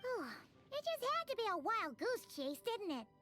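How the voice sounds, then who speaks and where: A tiny, eager fairy sidekick from an anime game, with a squeaky childlike voice pitched extremely high. The delivery is chirpy and hugely animated, over clearly audible music. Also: female voice, moderate pace, heavily acted. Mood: curious. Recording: music playing behind the voice.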